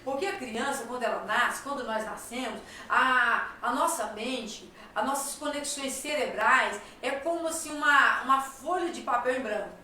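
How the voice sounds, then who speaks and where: A woman's voice: high voice, normal speed, hardly any pauses, bright, forceful tone, slight background noise. A Brazilian woman hosting a talk show, speaking emphatically and asking rhetorical questions. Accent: Brazilian Portuguese. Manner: emphatic, repeats phrases, asks rhetorical questions. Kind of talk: conversational advice talk. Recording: echoey room, voice from far off.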